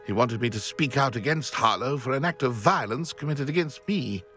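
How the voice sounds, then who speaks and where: Florid, mournful widower, swooping intonation. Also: male voice, medium pitch, expressive, normal speed, sharp tone, faint music behind. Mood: regretful. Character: shaken, mournful widower, refined and fearful gentleman. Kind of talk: video game voice acting, scripted dialogue.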